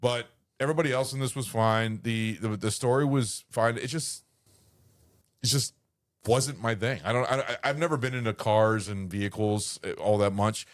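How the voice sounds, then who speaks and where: A man's voice: medium pitch, steady tone, normal speed, dry recording, clean studio sound. A man in his thirties chatting casually on a podcast about a movie, stumbling and repeating words as he talks. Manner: hesitant, repeats words often. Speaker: podcast co-host. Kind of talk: casual movie review chat.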